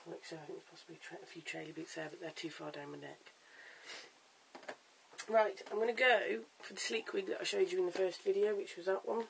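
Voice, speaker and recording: A hoarse, croaky-voiced vlogger giving a casual at-home wig tutorial in a chatty, stop-start way, filmed on a camera's own mic. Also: medium pitch, a little fast, a little hiss or hum, rough recording. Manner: chatty, stop-start with fillers and self-corrections. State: hoarse, lost voice. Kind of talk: casual how-to demonstration.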